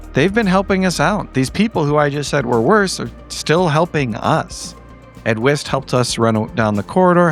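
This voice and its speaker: A man recapping a tabletop game in a casual, comedic way, with a droll, wordy delivery. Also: medium pitch. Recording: music under the voice.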